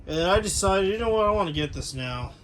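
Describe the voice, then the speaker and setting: A man's voice: high voice, lively intonation, a little fast. An American man giving a casual, unscripted product overview as a hobbyist, explaining things in a rambling, matter-of-fact way. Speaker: hobbyist video creator. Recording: clearly noisy room ambience.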